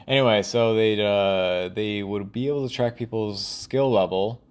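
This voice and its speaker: A man thinking aloud in a rambling, casual audio log, halting and often trailing off mid-thought. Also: fairly low pitch, fairly even intonation, a little mellow, smooth. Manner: casual, profane.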